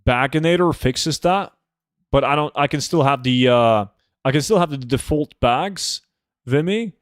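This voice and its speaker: A man's voice: mid-range voice, measured pace, dry recording. Blunt man streaming, dry close sound. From Norway. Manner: blunt, swears casually. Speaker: game livestreamer. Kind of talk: casual livestream chat while gaming.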